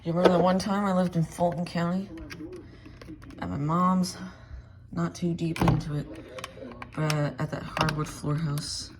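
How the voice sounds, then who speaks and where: A woman's voice: fairly low pitch, little pitch movement, measured pace, long pauses, heavy background noise. A woman chatting casually and playfully in a rambling home vlog. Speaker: vlogger showing weather radios.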